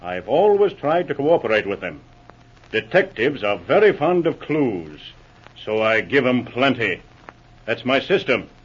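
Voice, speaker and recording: An actor playing a smug, menacing smuggling boss, mock-polite and taunting, in a 1940s radio drama. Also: male voice, mid-range voice, very animated, measured pace, breathy edge. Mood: smug menace. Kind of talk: 1940s radio villain acting. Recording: muffled old radio recording.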